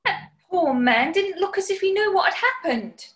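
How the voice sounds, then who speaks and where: Plucky English maid, played by a woman, high and very animated, polite, chirpy, 1940s radio comedy. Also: normal speed. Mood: bemused. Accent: British. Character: plucky, cheerful, polite young English housemaid.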